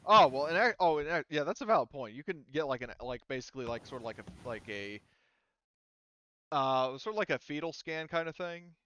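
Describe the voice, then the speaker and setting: A man improvising narration as a game master, halting as he searches for words. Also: a little high, animated, sharp tone, slight background noise.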